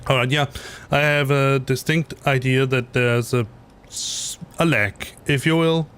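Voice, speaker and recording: A man's voice: mid-range voice, steady tone, unhurried, fairly bright tone, clean tone. A middle-aged Danish man with a Danish accent chatting on a live stream while flying a simulator, rambling and correcting himself with many fillers. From Denmark. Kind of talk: casual live-stream chat. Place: home basement room.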